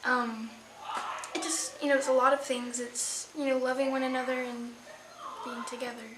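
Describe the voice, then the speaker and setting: A teenage female theater student giving casual, halting, informal answers in an interview. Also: high-pitched, level tone. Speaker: high school theater student. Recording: echoey room, clearly noisy.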